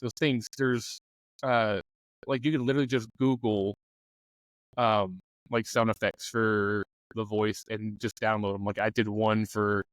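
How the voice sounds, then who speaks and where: A man's voice: on the low side, level tone, a little slow, dry recording, low-quality recording. A man talking casually in a loose, informal way, thinking aloud as he offers advice in a discussion. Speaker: discussion panelist on a game master advice show. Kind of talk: casual chat.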